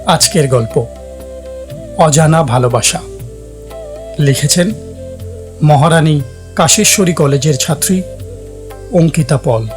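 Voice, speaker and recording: A man's voice: fairly high pitch, slow pace, pauses often. A man giving a formal, scripted introduction as the presenter of a story series. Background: background music.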